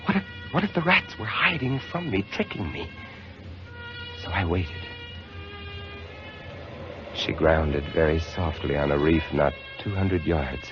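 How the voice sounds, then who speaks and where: An actor playing a shaken male lighthouse keeper, telling his story in hushed, dramatic narration for a 1950s radio drama. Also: medium pitch, close to an everyday voice. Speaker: lead actor narrating in first person. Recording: lo-fi old radio broadcast with music.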